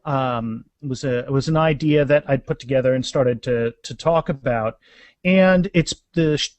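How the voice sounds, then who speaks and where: A man's voice: mid-range voice, moderate pace, a little mellow, no room sound. A man of about fifty chatting casually and enthusiastically as a guest author in a podcast interview, rambling as he goes.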